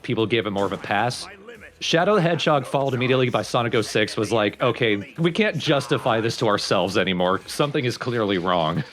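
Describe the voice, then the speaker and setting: A man's voice: lowish voice, fairly bright tone. A man doing casual, analytical and opinionated commentary for a video game let's play.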